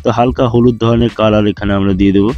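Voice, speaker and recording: Fast-talking man giving a tutorial. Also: on the low side, flat delivery. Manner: instructional, repeats each step. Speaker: tutorial host. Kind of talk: step-by-step software tutorial narration. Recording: dull lo-fi voice track with music. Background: background music.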